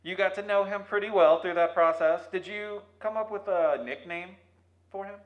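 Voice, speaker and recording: A man's voice: high voice, moderate pace, lo-fi sound. A man hosting a playful interview in a jokey manner, calling out through a megaphone in an echoey room. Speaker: host, museum staff.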